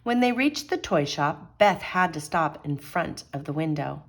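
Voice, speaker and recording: A woman storyteller with a low-pitched voice. She reads a children's picture book aloud expressively, in a teacherly manner. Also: lively intonation, unhurried, vocal fry.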